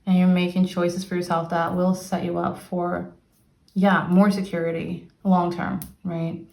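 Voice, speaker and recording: A woman's voice: on the low side, level tone, measured pace, vocal fry, darkish tone. A woman from Brazil giving a casual, upbeat tarot reading, talking with lots of fillers. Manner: chatty, upbeat, many "like" fillers. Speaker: tarot reader. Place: sunny room with plants. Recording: echoey room, faint noise.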